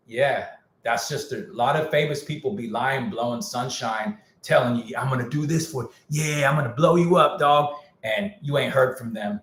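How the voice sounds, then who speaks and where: A man's voice: medium pitch, a bit bright. Man ranting on a live stream, echoey room. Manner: candid, slangy, repeats himself. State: losing his voice. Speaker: music producer live streaming. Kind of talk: casual livestream rant.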